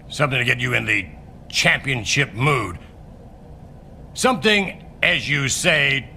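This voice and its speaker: A man's voice: on the high side, pushed and bright, a little hiss or hum, heavily acted. A man playing a domineering, menacing wrestling company boss in a video game, commanding and sardonic. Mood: grudging, sardonic. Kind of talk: scripted video game cutscene acting.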